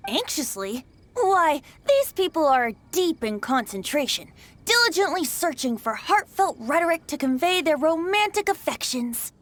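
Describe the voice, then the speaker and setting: High-voiced, impish boy bard. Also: big pitch swings, normal speed, a bit bright. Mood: playful, teasing. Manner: theatrical, flowery, teasing. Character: impish boyish young male bard, breezy, theatrical, smooth-talking. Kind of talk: English video game voice acting. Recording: music behind the voice.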